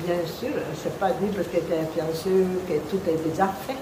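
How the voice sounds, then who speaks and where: Older italian nun, accent, halting, low-ish voice, echoey room with rain noise. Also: female voice, steady tone, dark tone. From Italy, Venice region. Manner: halting, searching for words, many restarts. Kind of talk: personal testimony in interview.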